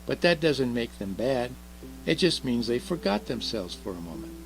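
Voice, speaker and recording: Kindly old Christmas gift-giver, played by a man, gentle and reassuring, very animated, gravelly edge, storybook style over music. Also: mid-range voice, moderate pace, pitched above the performer's own voice, poor audio. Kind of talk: children's storybook read aloud.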